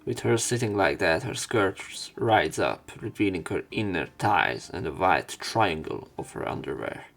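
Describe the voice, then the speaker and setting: Low, slightly gravelly man narrating a game. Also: expressive, a little slow, few pauses, fairly bright tone. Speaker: let's play narrator and commentator. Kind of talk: reading visual novel text aloud with casual asides. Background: faint game music.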